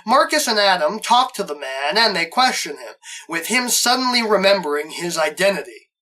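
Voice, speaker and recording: A man's voice: high-pitched, big pitch swings, normal speed, a crowd in the background, low-quality recording. A man hosting a scripted review, narrating with a fan's enthusiasm and wry asides. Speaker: host, reviewer.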